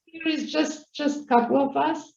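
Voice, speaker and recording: A woman's voice: high-pitched, moderate pace, creaky, darkish tone, reverberant, muffled recording. A woman from Serbia with a Serbian accent, a native Serbian speaker, answering interview questions in English and searching for words. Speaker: guest.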